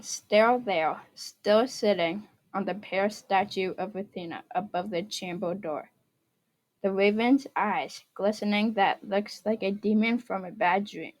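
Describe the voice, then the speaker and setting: A woman's voice: mid-range voice, rough recording. Young woman narrating in a mid-range voice. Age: teens or young adult. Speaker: student reader. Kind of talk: scripted narration, reading a poem retelling aloud.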